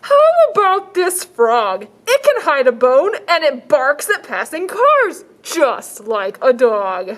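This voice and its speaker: A woman voicing an eager male animal shelter worker who pitches odd pets slowly and showily. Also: wildly swooping pitch, heavily acted. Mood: showy pleading. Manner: slow, pleading, showy salesman pitch.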